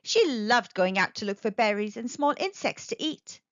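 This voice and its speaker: A woman narrates a children's story in an expressive read-aloud style. Her voice sits fairly high and swoops with lively intonation. The recording is dry and slightly dull. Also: normal speed, no room sound.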